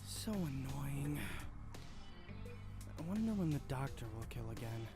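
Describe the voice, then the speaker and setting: A man's voice: mid-range voice, very animated, unhurried, warm tone. A man voicing a cocky, irritable forensic examiner who secretly kills, in a visual novel game, sounding snide and bored. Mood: irritated. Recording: music playing behind the voice.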